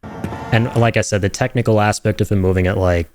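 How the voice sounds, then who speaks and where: A man's voice: lowish voice, steady tone, brisk, faint music behind. A man giving enthusiastic, speculative commentary on game trailers in a casual streaming style. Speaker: reaction video host, streamer.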